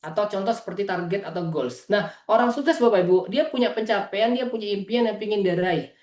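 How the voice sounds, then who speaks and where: A man's voice: high voice, a little fast, darkish tone. Man, East Java origin, motivational business presenter on a video call; earnest, addresses listeners directly; echoey room sound. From East Java, Indonesia. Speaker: business presenter at an online meeting. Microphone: video call microphone.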